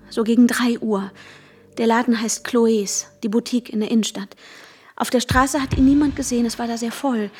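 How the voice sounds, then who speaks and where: An actress playing an anxious, insistent woman searching for her vanished lover, urgent and often hushed, in a radio drama. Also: on the high side, breathy edge. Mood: urgent, pleading. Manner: urgent, questioning, often dropping to a hushed whisper. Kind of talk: radio drama dialogue and intimate first-person narration.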